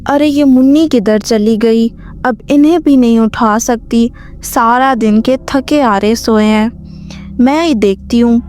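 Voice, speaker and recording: A woman's voice: on the high side, measured pace, a little mellow, faint music behind, close to an everyday voice. A woman voicing an anxious, scolding young mother in a cartoon horror story. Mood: worried.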